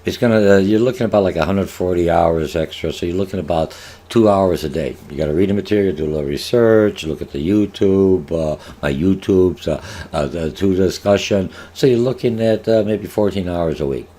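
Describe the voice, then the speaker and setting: An older man with a very low, deep voice walks through a marketing course outline in an online lecture. Polish is his first language, and he talks in a rambling way, full of filler sounds, restarts and questions he asks the class. He is recorded on a webcam in a home office. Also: fairly quick, dark tone. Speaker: college marketing instructor. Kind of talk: online lecture over slides.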